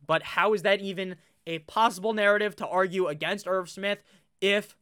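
Young male video host, high bright voice with a clear smooth tone and a slight nasal edge, loose and profane. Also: normal speed. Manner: swears freely, chatty and animated. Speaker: fantasy football YouTuber. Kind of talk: casual webcam talk while drafting. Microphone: webcam setup.

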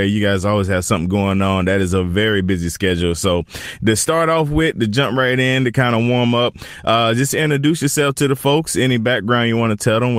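Host, man, low smooth voice, hyped and casual, dry studio sound. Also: no echo. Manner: upbeat, hype, repeats "man". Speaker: podcast host. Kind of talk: casual radio-style interview.

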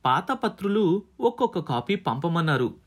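Expressive high-pitched male audiobook narrator. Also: moderate pace. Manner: dramatizes dialogue between characters. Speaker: audiobook narrator, radio jockey.

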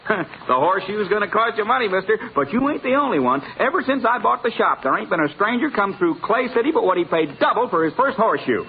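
A chatty, boastful blacksmith of the Old West, with a high American voice that bounces up and down. He talks in a folksy, rustic way, friendly and full of himself, on a tinny 1950s radio western recording. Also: very animated, fast, slight background noise. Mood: pleased, boastful. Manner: folksy, garrulous, rustic Western drawl and grammar.

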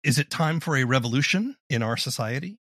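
A man hosts a conversational interview, asking questions of a guest. His voice is husky and of medium pitch, with a very dry sound free of room echo. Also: lively intonation, fairly quick, fairly bright tone. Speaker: podcast interviewer.

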